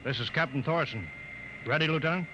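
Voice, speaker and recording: Man playing a stern spaceship captain, fairly high-voiced. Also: brisk, natural voice. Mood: commanding. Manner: clipped, commanding. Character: stern, short-tempered male spaceship captain. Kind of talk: 1950s radio acting. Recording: muffled old radio recording, heavy noise.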